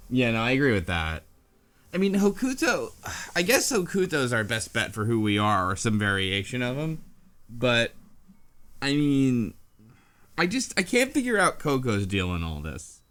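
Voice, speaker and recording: A man's voice: mid-range voice, a bit bright. A man hosting a casual group discussion, thinking aloud with frequent restarts and asides. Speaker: podcast host.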